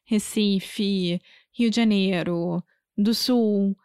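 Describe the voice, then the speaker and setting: A woman from Brazil co-hosting a casual podcast, chatty and quick to laugh. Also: mid-range voice, warm tone, clean tone, no echo, clean studio sound. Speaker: podcast co-host. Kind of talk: casual language podcast chat.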